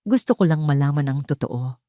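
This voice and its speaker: A woman's voice: low voice, lively intonation, fairly quick, warm tone, no echo, clean studio sound. A woman voicing a wounded, guilt-ridden rich son in an audio love drama, anguished and pleading. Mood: doubtful.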